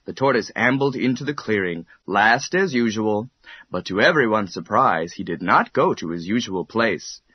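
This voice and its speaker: Husky, expressive male storyteller. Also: lowish voice, normal speed, no room sound, muffled recording. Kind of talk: scripted children's bedtime story narration.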